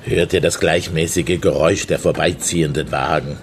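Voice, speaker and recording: An actor playing a sardonic former leftist given to mock-solemn speeches in a German radio drama. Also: male voice, rumbling low, steady tone, normal speed, a little hiss or hum.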